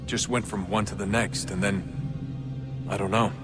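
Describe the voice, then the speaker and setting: A man plays a curious modern young guy in a video game, his voice fairly low under loud music. He talks in a casual, offhand way. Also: fairly even intonation, normal speed, close to an everyday voice. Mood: musing. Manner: offhand, conversational. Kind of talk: naturalistic video game acting. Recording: loud music over the dialogue.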